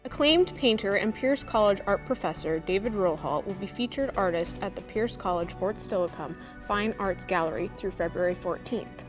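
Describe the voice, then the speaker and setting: A woman's voice: medium pitch, normal speed, few pauses. A woman reading a scripted announcement as the announcer of a campus TV program. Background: music.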